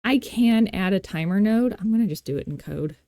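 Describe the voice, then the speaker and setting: Woman, tutorial host, relaxed dark tone, dry recording, casual thinking aloud, playful, self-deprecating. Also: medium pitch, a little fast, no room sound.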